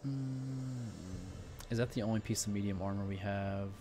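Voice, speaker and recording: A man's voice: lowish voice, fairly even intonation, normal speed. A man hosting a casual let's play, thinking aloud in a laid-back way. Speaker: gaming video host. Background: faint game music.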